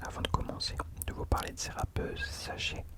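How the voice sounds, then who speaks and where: A man speaking in an unvoiced whisper, soft and informal, chatting as the host of an ASMR video into a close microphone. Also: brisk. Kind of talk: whispered ASMR talk about rap.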